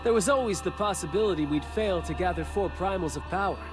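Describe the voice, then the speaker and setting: Man playing a high-voiced, theatrical young war god. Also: quick pace, natural voice. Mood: earnest, explaining. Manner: grandiose, poetic, formal proclamations. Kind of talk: video game English dub acting. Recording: voice over background music.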